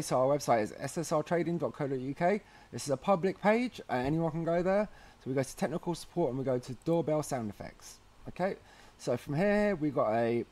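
A man with a slightly high but very mellow voice presents a casual how-to guide. He speaks informally, walking the listener through one step at a time in a chatty way. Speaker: host of a how-to video series. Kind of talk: casual tutorial narration.

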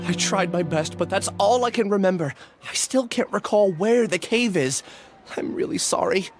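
A man's voice: sits high, animated, normal speed. An actor playing an earnest, apologetic young male scholar in a game. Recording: game audio with music.

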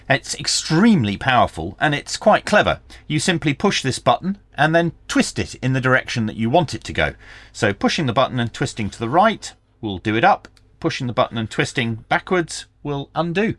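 A man's voice: mid-range voice, big pitch swings, fairly quick, a bit bright, no room sound. A middle-aged man hosting a hands-on product review and tutorial, explaining things step by step with dry asides. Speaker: radio review channel host. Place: small indoor home workshop.

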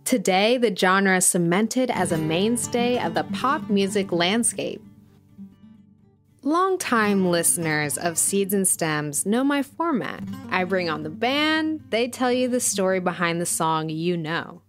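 A woman's voice: mid-range voice, animated, measured pace, lightly acted. Woman playing a music documentary host. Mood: measured narration. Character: female music podcast host, earnest storyteller. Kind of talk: scripted audio documentary narration.

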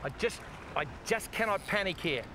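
A man's voice: high voice, lively intonation, moderate pace. An older Australian man hosting a fishing show, talking to viewers in a folksy, exclamatory way from a beach. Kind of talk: enthusiastic outdoor commentary. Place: outdoors on a sandy beach by the sea. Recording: outdoor wind and water noise.

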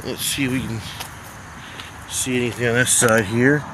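Middle-voiced man in his forties, relaxed and chatty, talking to viewers over a phone mic outdoors while cars go by. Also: medium pitch, normal speed, noisy background. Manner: chatty, wry asides to the viewer. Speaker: vlogger exploring abandoned places. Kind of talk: casual walking commentary. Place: outdoors around an empty building, later in a car. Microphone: handheld phone camera.

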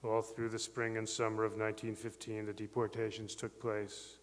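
A middle-aged man reading a historical account aloud at a podium, grave and even. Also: lowish voice, normal speed. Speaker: reader at a literary memorial. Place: large ornate library hall. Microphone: podium mic on a stand. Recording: long hall reverb.

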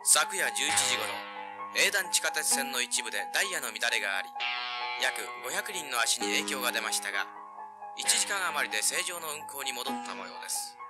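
Guy doing radio news announcer, formal, mid pitch, loud guitar music over him. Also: big pitch swings, normal speed, lightly acted. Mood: matter-of-fact. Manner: formal, factual. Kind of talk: scripted news reading.

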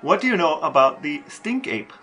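A man co-hosting a casual podcast, telling stories with enthusiasm and asking deadpan questions. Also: a little high, moderate pace, soft background music. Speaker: podcast co-host.

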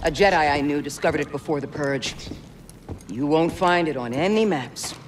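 An actress playing a guarded, middle-aged former Jedi mentor in a game, speaking in a measured, earnest way. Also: female voice, low voice, noisy background, lightly acted. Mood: grave, resolute. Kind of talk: game cutscene acting.

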